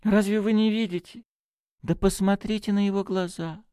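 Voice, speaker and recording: A man voicing an excitable, apologetic little priest in an audiobook, with a flustered, gushing way of exclaiming. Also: high voice, normal speed, no room sound, clean studio sound, pitched above the performer's own voice. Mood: astonished, excited. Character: excitable, apologetic, stubby little male priest with childlike wonder. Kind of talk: audiobook character acting.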